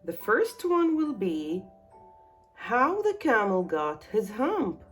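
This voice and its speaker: Slow, expressive woman storyteller. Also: medium pitch. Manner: expressive, deliberate storybook phrasing. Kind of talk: scripted children's bedtime story narration. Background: music.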